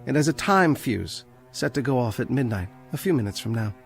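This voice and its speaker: A man's voice: medium pitch, expressive, fairly quick, creaky. Casting: condemned librarian in radio play, bookish, defiant, precise and ironic, mid-range male voice over faint music. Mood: quietly triumphant. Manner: precise, dry, pedantic, ironic. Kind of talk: radio drama acting.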